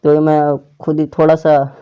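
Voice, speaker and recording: A man's voice: on the high side, monotone, moderate pace, clear, resonant tone, lo-fi sound. A man hosting a casual tech tutorial, explaining an app step by step to viewers. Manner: step-by-step instructions addressed to viewers.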